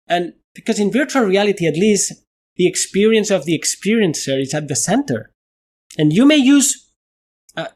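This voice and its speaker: Spanish-accented male guest, slightly high pitch, lively emphatic speaker. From Spain. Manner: animated, laughs often, repeats words when stressing a point. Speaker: guest scientist. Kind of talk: conversational interview.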